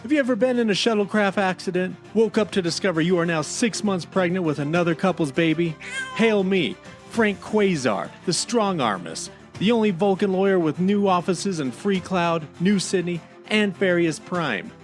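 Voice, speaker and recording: A man's voice: sits high, lively intonation, normal speed, faint music behind. A man playing a cocky, combative Vulcan lawyer making a hard sell in a parody radio ad. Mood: cocky pitch. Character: brash sleazy Vulcan lawyer in a commercial.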